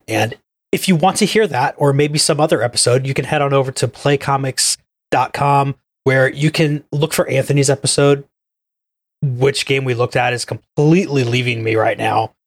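A man's voice: mid-range voice, moderate pace, no room sound. A man chatting casually as a podcast co-host. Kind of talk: casual chat.

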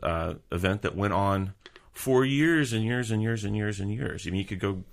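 Deep gravelly man chatting casually. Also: normal speed. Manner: relaxed, reminiscing, chatty with fillers. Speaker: podcast co-host; musician who played local clubs. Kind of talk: casual chat.

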